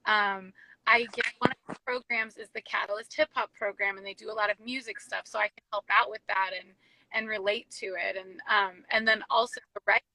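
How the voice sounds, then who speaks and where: A woman's voice: medium pitch, steady tone, fairly quick, pushed and bright, no room sound, rough recording. A young adult woman, a youth ministry director, chatting upbeat and casually in an interview. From small-town United States. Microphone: phone or video call from a car.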